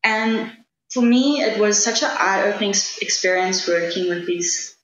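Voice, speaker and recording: A woman's voice: mid-range voice, a little slow, a bit bright. A South African woman whose first language is Afrikaans, answering interview questions in an earnest, enthusiastic way and often restarting her sentences as she thinks aloud. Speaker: guest, travel writer. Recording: echoey room with faint background noise.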